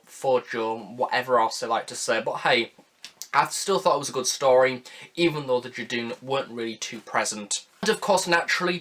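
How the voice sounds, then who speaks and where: A young man reviewing for viewers in a chatty, wordy way, often softening his opinions. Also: mid-range voice. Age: young adult. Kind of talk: scripted-sounding audio drama review, talking to viewers.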